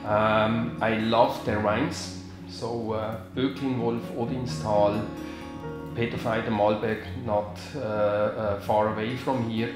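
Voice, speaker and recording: A man's voice: fairly low pitch, flat delivery, slow. A man representing a villa, speaking thoughtfully in an interview with fillers. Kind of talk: interview answers in English. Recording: echoey with background music. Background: music.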